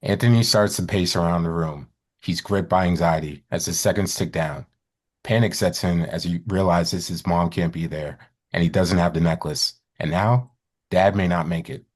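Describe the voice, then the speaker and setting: Very deep, husky man narrating a script. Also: fairly even intonation, moderate pace. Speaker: actor reading stage directions. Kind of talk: scripted narration at a live table read.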